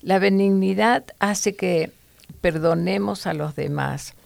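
A woman's voice: medium pitch, takes it slowly, creaky, a little mellow, dry recording. A woman teaching from the Bible to other women in an earnest, preachy way, with fillers and restarts.

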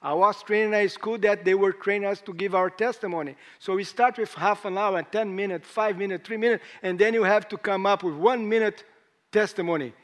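A hoarse man preaching a sermon in English, speaking in halting phrases with rising emphasis. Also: sits high, steady tone. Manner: slow, halting delivery with pauses and rising emphasis; non-native English with grammar slips.

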